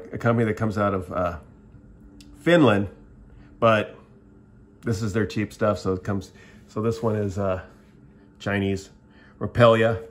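A middle-aged man giving a casual, rambling show-and-tell review at a table, plainspoken and often restarting his sentences. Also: fairly low pitch. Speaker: product reviewer. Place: indoors at a table, filming the tabletop.

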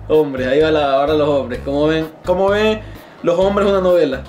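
A man's voice: a little high, fairly even intonation, normal speed, a little mellow. A young man giving casual reaction commentary, jokey and embarrassed about himself. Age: young adult. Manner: self-mocking, embarrassed, jokey. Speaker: video creator reacting to own old videos. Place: small bedroom indoors. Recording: echoey bedroom with faint music. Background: music.

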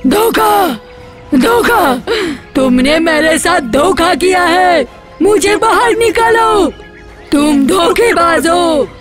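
Woman doing evil cartoon forest witch, high and super slow, gloating, music behind. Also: animated, exaggerated voice. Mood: betrayed, furious. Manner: slow, gloating, drawn-out delivery. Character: menacing green-skinned man-eating forest witch, cackling and gloating. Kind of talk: animated Hindi horror story acting.

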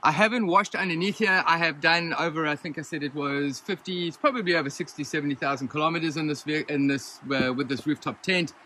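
A man's voice: a little high, fast talker, hardly any pauses, bright, forceful tone, a little hiss or hum. A man, talking casually to a handheld phone as he reviews his rooftop tent, rambling with frequent ums and restarts. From Southern Africa. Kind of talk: casual hands-on gear review. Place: inside a rooftop tent, then outdoors beside a vehicle in the bush. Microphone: handheld phone camera at arm's length.

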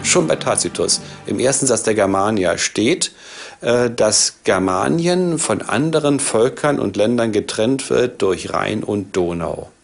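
Creaky older male academic, low voice. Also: animated, measured pace, faint music behind. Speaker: academic expert interviewee. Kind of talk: explanatory interview commentary. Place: indoor book-lined study.

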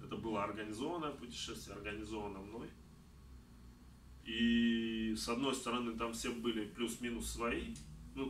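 A man in his thirties from Kazakhstan talks about travel at a middle pitch, gesturing as he answers questions. He is picked up by a camera set well back in a small room, so his voice sounds distant and echoey. A faint hum of background noise sits underneath. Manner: animated, gestures a lot while talking. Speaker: traveler giving a talk. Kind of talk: conversational talk answering audience questions. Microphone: far camera.

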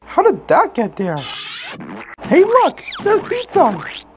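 A woman's voice: very high voice, wildly swooping pitch, slow pace, full character voice. A woman voicing an anxious, caring young friend in a kids' cartoon, on a noisy track. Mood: surprised.

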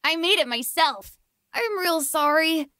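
A woman's voice: very high-pitched, animated, unhurried, pushed and bright, dry recording, studio quality, heavily acted. A woman voicing an eager, plucky young boy puppeteer warrior for a video game, with childlike exclamations. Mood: sheepish. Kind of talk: video game character voice lines.

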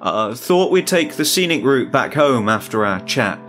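A man's voice: medium pitch, sing-song, normal speed, a bit bright, faint music behind, voice pushed up. A man voicing a calculating killer father who feigns casual, reassuring helpfulness in a horror story. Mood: falsely friendly.

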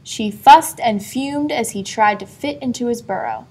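A woman reading a children's story aloud expressively, pausing to put questions to a young listener. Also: fairly high pitch, darkish tone, husky. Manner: asks a child engaging questions. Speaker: storybook reader.

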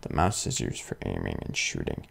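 A young man playing a video game and commenting on it, muttering slowly and then yelping loudly in fights, with faint game music behind. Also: very deep voice, wildly swooping pitch, gravelly, slightly rough. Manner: slow, mumbling asides that jump to loud yelps in fights. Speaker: gaming video host. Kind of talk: let's play commentary. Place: indoor room with webcam.

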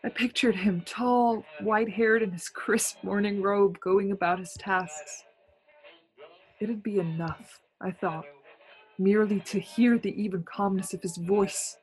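A woman's voice: medium pitch, steady tone, unhurried, a little mellow, lightly acted, poor audio. An actress playing a haunted young woman traveller in a suspense radio play, with a theatrical, brooding and fraught manner. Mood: wistful. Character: Ronnie Adams, haunted young woman traveller narrating her ordeal. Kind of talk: suspense radio play acting, dramatic monologue. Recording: music bed under home-recorded radio play.

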